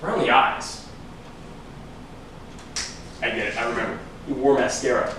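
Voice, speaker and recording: A man's voice: medium pitch, moderate pace, close to an everyday voice. A man playing a teasing, probing detective in a staged reading, speaking in a conversational, musing way. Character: male detective, teasing, probing friend of another investigator. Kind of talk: stage acting at a script reading. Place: large room, staged reading recorded from afar. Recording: echoey room, far from mic, noisy.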